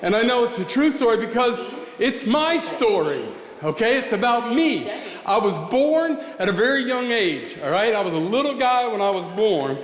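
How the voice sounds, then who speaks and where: Folksy male storyteller with a high voice. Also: moderate pace. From southeastern Pennsylvania. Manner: folksy, joking, deadpan. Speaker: storyteller performer. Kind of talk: spoken storytelling. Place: coffeehouse stage. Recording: echoey room with long reverb.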